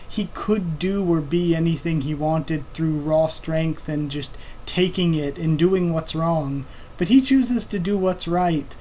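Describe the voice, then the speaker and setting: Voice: medium pitch, a little mellow, slight background noise, poor audio. A manga read-through host talking casually into a desk microphone, rambling with many fillers and self-corrections.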